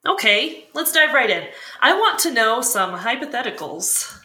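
A woman's voice: fairly high pitch, very animated, a little slow, sharp tone, slight background noise, heavily acted. An actress playing an eager, curious, sympathetic woman who asks questions and reacts in a scripted fiction podcast. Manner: inquisitive, reactive. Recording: roomy echo.

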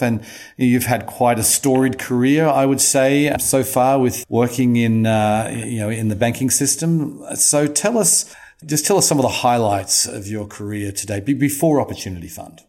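A man's voice: medium pitch, a little mellow. A man hosting a podcast interview, talking in a loose, conversational way with frequent restarts. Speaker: podcast host.

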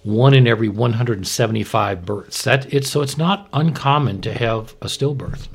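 A man's voice: medium pitch, moderate pace, creaky, husky. A man explaining medical matters in a conversational, plain-spoken way as a podcast host and expert. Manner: explanatory, plain-spoken, asks and answers own questions.

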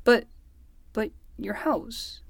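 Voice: mid-range voice, drawn-out words, gravelly, no room sound, full character voice. An actor playing a dramatic twelve-year-old boy who talks like a self-narrating hard-boiled private detective in a fiction podcast. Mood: crestfallen. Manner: theatrical, self-narrating in third person.

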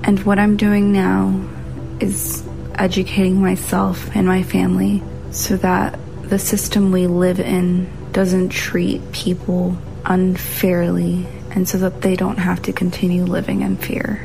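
A woman reading a personal reflection in a slow, subdued way. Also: lowish voice, monotone, gravelly, over music, low-quality recording. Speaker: contributor.

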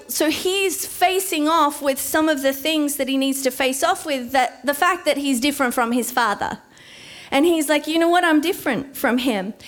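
A woman in her forties preaching a sermon, slowing to stress key words. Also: high-pitched, fairly bright tone. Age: 40s.

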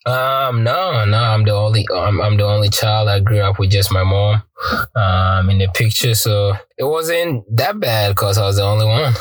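A man's voice: fairly low pitch, moderate pace, no room sound. A young man from Ghana, a pro soccer player, talking casually in an interview with many ums and restarts. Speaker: guest, professional soccer player.

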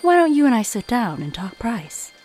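A woman's voice: a little high, sing-song, normal speed, a little mellow, a little hiss or hum. A woman playing a sly female gem seller in an audio drama, with theatrical, coaxing sales patter. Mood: seductive bargaining.

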